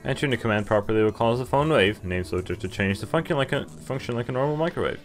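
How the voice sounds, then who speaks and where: A man's voice: mid-range voice, animated, very fast. A young man reading game text aloud and commenting casually as he plays. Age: young adult. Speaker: game streamer. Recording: game music behind.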